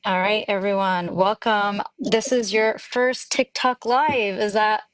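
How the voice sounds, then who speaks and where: A woman hosts a live online panel in a relaxed, welcoming way and fills gaps with "um". Her voice sits at a medium pitch. The sound is close and dry, with no room echo. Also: slow pace. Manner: welcoming, with frequent "um" fillers. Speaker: live stream host. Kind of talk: casual hosting of a live panel.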